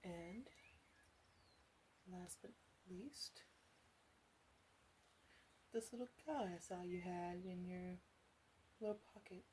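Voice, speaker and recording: Woman playing a mellow, teasing ASMR witch. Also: fairly low pitch, takes it slowly, long pauses, a little hiss or hum, rough recording. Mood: casual, teasing. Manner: soft, coaxing, playful teasing with murmured asides. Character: teasing, flirty witch in her three hundreds. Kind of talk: ASMR roleplay, speaking to the listener.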